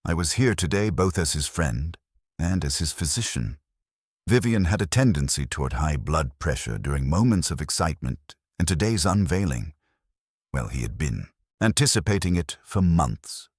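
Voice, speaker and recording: A man narrating an audiobook voices a grieving older male doctor who speaks in a steady, measured way. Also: rumbling low, breathy edge, no room sound, studio quality, full character voice. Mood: sorrowful.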